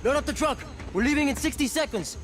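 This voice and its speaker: A man's voice: very high voice, animated, fast talker, music in the background. A man playing an eager, loyal rebel sergeant in a video game, with a soldierly manner. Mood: urgent, commanding. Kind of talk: video game dialogue acting.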